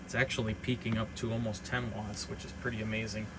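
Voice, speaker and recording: A man's voice: fairly low pitch, level tone, quick pace, very noisy. A man giving an informal, unscripted hobbyist product demonstration in a rambling, matter-of-fact way. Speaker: hobbyist radio reseller presenting a demonstration.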